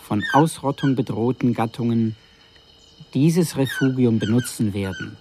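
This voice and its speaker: A man plays a solemn dignitary making a formal public speech in a radio drama. The delivery is stately and rhetorical at a medium pitch. There is clear noise behind the voice. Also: animated, unhurried, close to an everyday voice. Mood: solemn, hopeful. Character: solemn dignitary giving a grandiose public thank-you speech.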